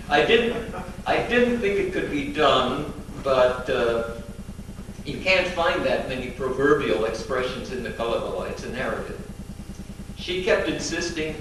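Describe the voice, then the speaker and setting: Male interviewee, thoughtful and halting, echoey and distant with background noise. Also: medium pitch. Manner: thoughtful, pauses with fillers to recall facts. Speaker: interviewee, author on the Kalevala. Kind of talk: reflective lecture-like talk.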